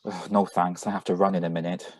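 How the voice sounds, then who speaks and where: An actor is a brisk man in a radio drama reading, who also announces the sound effects. His voice is low. The sound is dry and close, with little room in it. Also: little pitch movement, dry recording. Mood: brisk, exasperated. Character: brisk, mildly exasperated grown son at breakfast. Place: remote live reading over video call.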